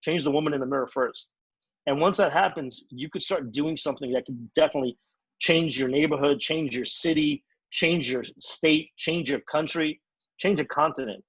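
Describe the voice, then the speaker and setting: A man giving an energetic, repetitive motivational training talk as a network marketing trainer. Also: on the high side, normal speed, breathy edge, no room sound, highs cut off. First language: English.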